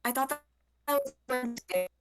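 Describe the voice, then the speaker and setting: A woman's voice: high voice, fairly quick, faint music behind. A young woman chatting casually on a game stream, explaining things in a matter-of-fact way. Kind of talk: casual chat.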